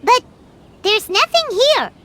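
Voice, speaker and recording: A tiny childlike fairy from a video game, extremely high and squeaky. Excitable yet timid, the voice bounces around in pitch with cartoonish energy. Noise is audible behind it. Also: female voice, slow pace, heavily acted. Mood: puzzled, curious. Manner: speaks of self in third person, childish.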